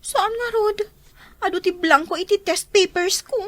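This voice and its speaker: A woman's voice: very high voice, normal speed, no room sound. A woman with a Filipino Ilocano accent playing a spiteful, jealous schoolgirl in a radio drama, whiny and emphatic. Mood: chatty.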